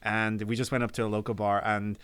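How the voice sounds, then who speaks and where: A man's voice: on the low side, little pitch movement, brisk, a bit bright, clean tone. A man of thirty talking casually as a podcast guest and telling anecdotes.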